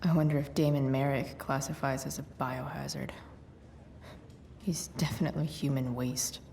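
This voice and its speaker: A woman playing a wry, sweary, guarded but caring teenage girl in a video game, muttering sardonically in breathy asides. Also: a bass voice, fairly even intonation, normal speed, warm tone, slight background noise. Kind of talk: naturalistic video game voice acting.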